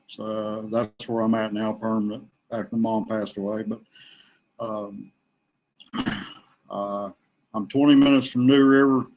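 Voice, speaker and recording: A middle-aged man from West Virginia, chatting casually as a guest in a halting way with pauses. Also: fairly low pitch, flat delivery, a little mellow, highs cut off. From West Virginia, USA. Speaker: podcast guest, kayak angler. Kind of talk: casual chat. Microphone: remote online call.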